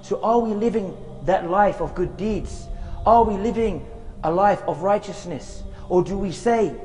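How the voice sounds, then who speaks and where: A man with a high voice delivers an earnest, unhurried sermon outdoors in a graveyard. His words trail into a long reverb, and faint music plays underneath. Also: dark tone. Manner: earnest, slow, with Arabic recitation chanted. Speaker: preacher giving a short reminder.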